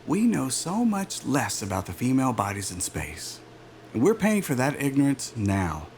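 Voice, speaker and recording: A man playing a self-assured, smooth-talking aerospace executive in a naturalistic audio drama, with a polished, salesman-like manner. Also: mid-range voice, huge pitch swings, normal speed, a little mellow, a little hiss or hum. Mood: self-assured, explaining.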